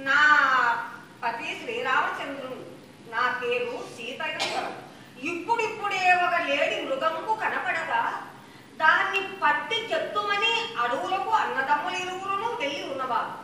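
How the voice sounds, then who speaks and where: A woman's voice: high voice, bright, forceful tone, a little hiss or hum, full character voice. A woman voicing a devoted, defiant young queen in a traditional puppet play with sing-song theatrical delivery; recorded live, echoey and distant.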